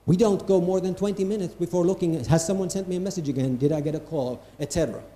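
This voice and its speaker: A man's voice: high-pitched, fast, mellow. A man from Finland, giving an emphatic conference keynote talk and repeating phrases for stress. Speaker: conference speaker. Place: event hall with an audience. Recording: echoey hall.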